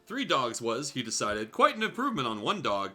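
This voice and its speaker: Animated, bright-voiced man reading comically. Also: medium pitch, fairly quick. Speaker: guest host reading fan fiction. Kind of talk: casual comedic storybook reading with asides.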